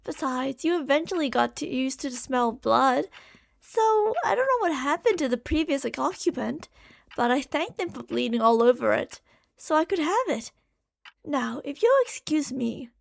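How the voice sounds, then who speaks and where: A woman's voice: high-pitched, sing-song, normal speed, vocal fry, heavily acted. A woman playing an impatient, obsessive female mad scientist in an ASMR roleplay, theatrical and condescending. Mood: brisk, evasive.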